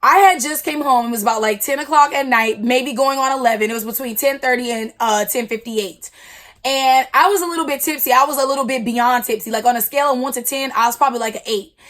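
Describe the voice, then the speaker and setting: A woman in her twenties, a YouTuber telling a storytime with a loud, blunt, slangy delivery. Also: high voice, quick pace. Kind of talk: storytime vlog.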